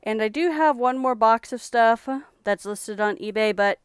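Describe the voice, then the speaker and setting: A young woman from Texas chatting casually on a live stream about thrift finds she resells, in a relaxed, rambling way. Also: on the high side, normal speed, smooth, no echo.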